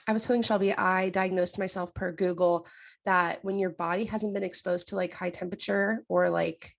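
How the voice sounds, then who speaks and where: A woman's voice: lowish voice, steady tone, normal speed, gravelly. A congested young woman co-hosting a casual podcast, playful and agreeable as she tells stories. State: congested from a cough. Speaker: podcast co-host. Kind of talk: casual chat.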